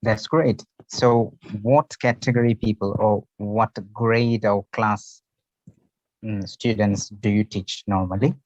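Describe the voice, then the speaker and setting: A man's voice: lowish voice, fairly even intonation, takes it slowly, no echo. Man asking interview questions, dry sound. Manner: brief prompts and questions. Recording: muffled lo-fi audio.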